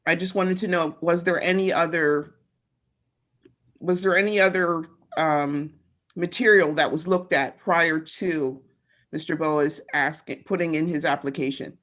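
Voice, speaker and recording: A woman city councilor on a video call who asks questions slowly and carefully, pausing often. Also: sits low, steady tone, a bit bright. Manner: slow and careful, with pauses and fillers. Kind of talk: meeting discussion.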